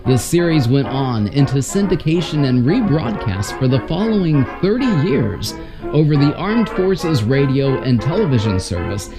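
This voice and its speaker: A man's voice: medium pitch, poor audio. A man hosting with a scripted, announcer-like narration. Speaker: host. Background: music.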